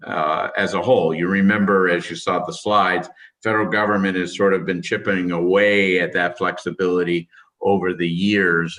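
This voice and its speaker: A male government official delivers a formal briefing in a deep voice with a forceful, bright edge. He speaks haltingly and hesitantly, and his voice sounds like it is starting to go. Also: distant mic. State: losing his voice. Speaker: government official giving testimony.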